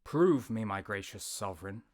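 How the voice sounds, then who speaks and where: An actor playing a cold, willing hired assassin in a Shakespearean audio drama, who speaks curtly and eagerly. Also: male voice, fairly low pitch, lively intonation, normal speed, close to an everyday voice. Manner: curt, eager and obedient.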